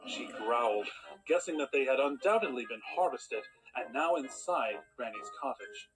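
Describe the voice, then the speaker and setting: A man playing a patient storybook narrator, measured and dry, with a long-suffering manner. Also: medium pitch, lively intonation, music in the background, close to an everyday voice, low-quality recording. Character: patient storybook narrator turning exasperated when interrupted.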